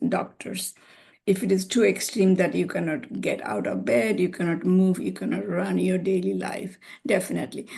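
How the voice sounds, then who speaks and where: A middle-aged woman with a Bangladeshi accent, a physician speaking as a guest on a video call, rambling and warm with frequent "you know" and restarts. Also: medium pitch, creaky, husky. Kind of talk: conversational talk on a video call.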